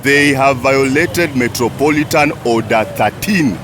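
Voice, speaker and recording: A man's voice: mid-range voice, unhurried, lightly acted. An actor playing a smug, officious male traffic officer, mocking and bullying, in a motion comic drama. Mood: stern, bossy. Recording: noisy street with car sounds. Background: traffic and vehicles.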